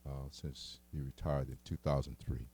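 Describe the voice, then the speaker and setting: A man leading a poetry workshop, introducing a colleague and himself in a halting way with many fillers. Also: extremely low pitch, steady tone, dark tone, slightly rough. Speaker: workshop leader.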